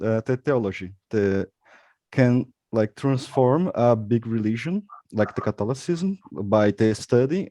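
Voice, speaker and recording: A man's voice: medium pitch, dark tone, dry recording. A Brazilian man, a native Portuguese speaker, asking a question in an online seminar, hesitant and searching for words. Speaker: seminar participant.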